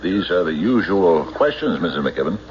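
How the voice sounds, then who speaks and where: Deep-voiced, dogged radio-drama sheriff. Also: male voice, big pitch swings. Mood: probing. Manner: official, deliberate, hesitant questioning. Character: stolid, methodical male county sheriff, formal and doggedly skeptical. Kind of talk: old-time radio drama acting with police-report narration. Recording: noisy, muffled vintage radio recording.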